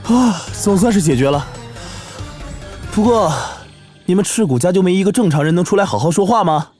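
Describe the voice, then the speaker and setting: An actor playing a plucky, easily exasperated young magic adventurer in an animated series, excitable and complaining. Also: mid-range voice, a little fast, faint music behind, natural voice. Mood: weary annoyance.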